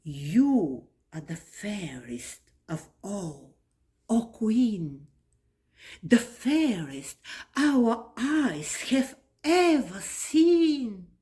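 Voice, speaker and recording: A woman voicing a flattering magic mirror from a storybook, in a grand, lilting manner. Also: mid-range voice, drawn-out words.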